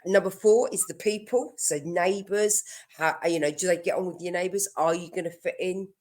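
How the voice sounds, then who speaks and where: A woman with a head cold talks in a low, congested voice. She gives casual, chatty advice and leans on everyday examples from her own life. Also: moderate pace, darkish tone. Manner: chatty, explains with everyday examples and light laughs. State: stuffy nose from a cold. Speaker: mortgage broker.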